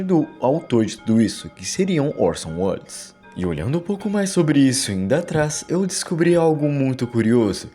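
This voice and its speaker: A man's voice: medium pitch, warm tone. A man with a Brazilian Portuguese accent, hosting and narrating explanatory commentary in a wordy, conversational way. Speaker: video narrator and host. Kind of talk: explanatory commentary over horror videos.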